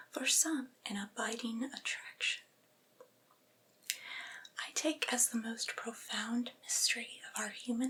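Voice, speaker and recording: A woman reads literary prose aloud for ASMR in a soft, breathy whisper. Her pace is very unhurried, with careful, deliberate phrasing. The voice sits on the higher side, with almost no voiced tone. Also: low-quality recording. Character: devoted servile female vampire's familiar soothing master. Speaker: ASMR performer reading aloud.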